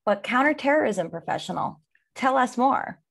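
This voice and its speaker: A woman's voice: on the high side, expressive, moderate pace, gravelly, fairly bright tone. A woman hosting an interview podcast, introducing her guest and asking questions eagerly. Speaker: podcast host.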